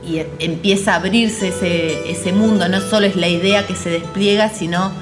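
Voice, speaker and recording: An Argentine woman talking reflectively and conversationally about a creative project, often halting as she searches for words. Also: lowish voice, fairly even intonation. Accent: Argentine Spanish. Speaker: theater artist and educator. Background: music behind the voice.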